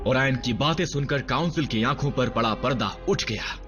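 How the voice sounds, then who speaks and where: Low, solemn veteran robot soldier voiced by a man, muffled sound. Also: lightly acted. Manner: measured, solemn storytelling. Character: grave veteran robot soldier recounting ancient war history. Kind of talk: dubbed animated series dialogue and narration. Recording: muffled sound with loud dramatic music.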